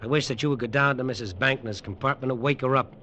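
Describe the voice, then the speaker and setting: An actor playing a cocky, scheming American detective in a tough, clipped, sardonic 1950s radio noir style. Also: male voice, mid-range voice, quick pace, pushed and bright, lo-fi sound, natural voice. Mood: cocky, needling. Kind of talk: 1950s radio noir acting, first-person narration and dialogue. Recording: noisy old radio drama recording.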